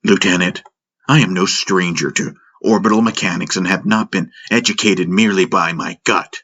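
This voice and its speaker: A man's voice: sits low, steady tone, moderate pace, fairly bright tone, dull audio. A man voicing a proud, weary ship's commander who talks in a stiff grumble. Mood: indignant.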